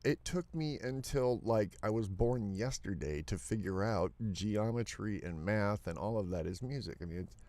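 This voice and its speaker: A man's voice: on the low side, animated, normal speed, few pauses, darkish tone. A man co-hosting a livestream in casual chat, speaking emphatically and often repeating himself. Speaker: livestream co-host.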